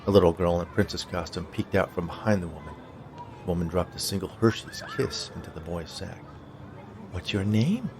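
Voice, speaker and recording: A man narrates a short story from a script. His voice sits on the low side, and there is clear noise behind it. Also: steady tone, brisk.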